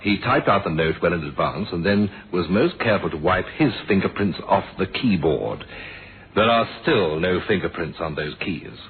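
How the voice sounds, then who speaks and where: An actor playing a calm, shrewd male police inspector in a radio mystery, explaining in a deliberate, measured way and pressing his point. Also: low voice. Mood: pressing, probing. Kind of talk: 1970s radio mystery drama acting. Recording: muffled, noisy old radio drama recording.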